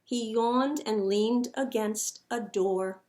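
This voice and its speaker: A woman reading a picture book aloud to children in an expressive, dramatic storyteller's manner. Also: fairly high pitch, slow.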